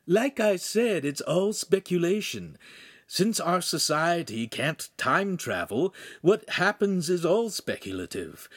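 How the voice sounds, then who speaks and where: A man's voice: high voice, very animated, takes it slowly, a bit bright, no echo, clean studio sound, voice pushed up, heavily acted. A man voicing a nervous, quirky shape-shifting imp who explains things earnestly in a storybook reading. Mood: earnest, worried.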